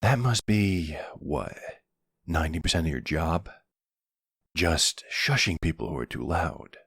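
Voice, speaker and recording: Man playing a gravelly, very low-voiced mafia boss. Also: lively intonation, a bit bright, no echo, clean studio sound, full character voice. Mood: teasing, playful.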